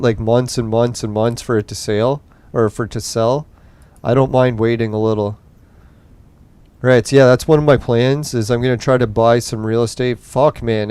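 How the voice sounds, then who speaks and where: A man's voice: medium pitch, a little mellow, clear, resonant tone, no echo. A young man hosting a gaming podcast, rambling casually and swearing while he plays, his voice tiring late at night. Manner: rambling, profane, laid-back. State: voice getting tired late at night. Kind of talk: casual gameplay commentary.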